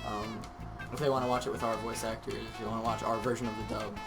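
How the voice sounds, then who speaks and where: A man's voice: lowish voice, level tone, quick pace. A man chatting casually as a guest on a podcast. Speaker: podcast guest from a fandub group. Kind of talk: casual chat. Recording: music clearly playing behind the voice.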